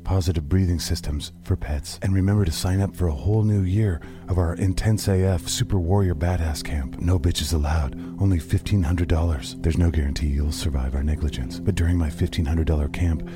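A man voicing a pompous self-help guru delivering a self-important parody infomercial pitch. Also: fairly quick, hardly any pauses, close to an everyday voice. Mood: smug salesmanship. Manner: smooth-selling, self-important, jargon-heavy. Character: pompous self-help guru selling dubious spiritual camps. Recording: music behind the voice.

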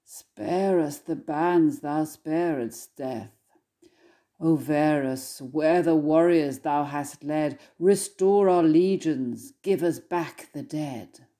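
A woman's voice: sits low, takes it slowly, dark tone. A woman scholar giving a literary introduction and poetry reading in an articulate manner.

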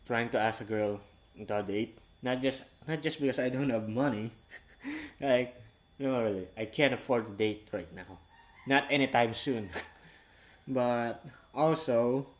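Young man, 18, from Southeast Asia, non-native English speaker, frank and halting, stops to search for words; casual confessional monologue. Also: mid-range voice. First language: not English. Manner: halting, rambling, frank, self-correcting.

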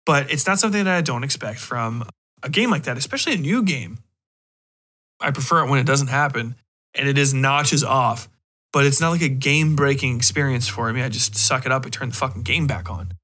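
A man's voice: medium pitch, a little fast, pushed and bright. A man hosting a podcast, talking in a casual, opinionated way with blunt, casually profane phrasing. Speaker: podcast host. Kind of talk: casual opinionated discussion of video games.

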